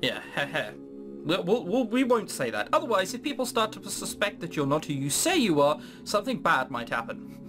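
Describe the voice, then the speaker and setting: A very animated gaming host with a mid-pitched voice gives casual, sardonic commentary. The delivery swings up and down in incredulous, sweary asides, with faint music underneath. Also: brisk. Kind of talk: casual let's play commentary, reading game dialogue aloud.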